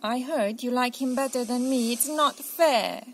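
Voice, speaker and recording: A woman's voice: a little high, a little slow, a little hiss or hum, pitched above the performer's own voice. A woman voicing an eager little elephant child in a storybook, pleading and insistent. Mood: whiny, aggrieved.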